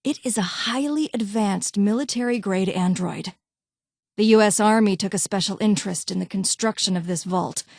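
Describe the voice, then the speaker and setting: Woman acting as brusque female scientist-doctor, game dialogue, condescending, clipped and formal, impatient, mid-pitched, dry recording. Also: normal speed, a little mellow, no echo, close to an everyday voice. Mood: businesslike. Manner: clipped, haughty, formal phrasing. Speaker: voice actor in a game mod. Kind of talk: scripted game character dialogue.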